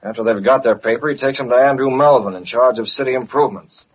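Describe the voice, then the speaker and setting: An actor playing a commanding newspaper boss and crime fighter in American radio drama, brisk and authoritative. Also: male voice, mid-range voice, husky, natural voice. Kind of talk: old-time American radio drama acting. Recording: muffled, hissy vintage radio recording.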